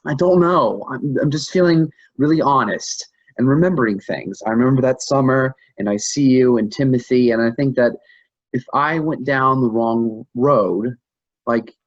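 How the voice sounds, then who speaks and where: A man acting a shaken, confused husband in grief in a staged play reading, speaking haltingly and repeating himself. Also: medium pitch, highs cut off, natural voice. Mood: dazed, confessing. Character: shaken, confused husband grieving a lost pregnancy.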